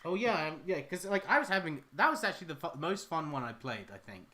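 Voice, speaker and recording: A man, chatting casually and joking on a live art stream. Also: fast talker. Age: 30s. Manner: animated, jokey, goes high and loud when quoting people. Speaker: artist and co-host.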